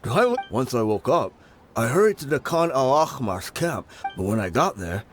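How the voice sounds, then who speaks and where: A man voicing an easygoing, lively mercenary. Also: mid-range voice, moderate pace, a little hiss or hum, lightly acted. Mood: calm. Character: easygoing male mercenary, laid-back and philosophical. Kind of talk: video game cutscene dialogue.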